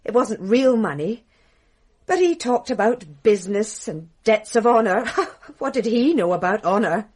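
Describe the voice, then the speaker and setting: An actress playing a titled English wife confessing to murder in a radio drama, bitterly ironic and theatrical, with a British accent. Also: female voice, a little high, lively intonation, moderate pace, a little mellow, breathy edge. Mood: bitter, wistful.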